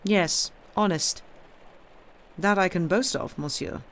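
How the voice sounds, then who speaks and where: A man's voice: sits high, takes it slowly, fairly bright tone, slight background noise, full character voice. Man playing a fawning, stammering innkeeper from a storybook. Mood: plaintive, boastful. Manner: stammering, obsequious, complaining. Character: fawning, garrulous, self-pitying male French innkeeper.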